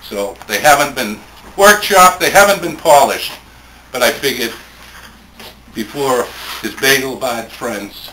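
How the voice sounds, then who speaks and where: A man speaking at a live poetry tribute in a plainspoken, measured way, between informal introduction and reading. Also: medium pitch, pushed and bright. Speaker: speaker reading a late friend's poems at a tribute. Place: indoor room at a live poetry event. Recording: roomy, echoing event recording with faint noise.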